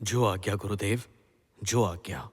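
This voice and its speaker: A man's voice: on the low side, breathy edge. An actor playing a proud, hot-tempered king of the gods in a mythological audio drama, with theatrical delivery. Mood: humbled. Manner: haughty, theatrical, slow declaiming. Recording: long hall-like reverb.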